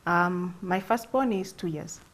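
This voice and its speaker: A Kenyan woman in her thirties, a guest on a talk show, telling relaxed stories. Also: on the low side, unhurried. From Nairobi, Kenya. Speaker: guest, doula. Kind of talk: interview answers.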